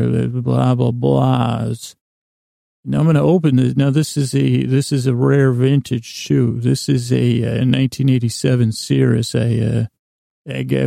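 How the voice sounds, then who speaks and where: Gravelly man, rambling podcast monologue. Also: medium pitch, warm tone, no echo, studio quality. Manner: meandering, halting, self-deprecating. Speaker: podcast host.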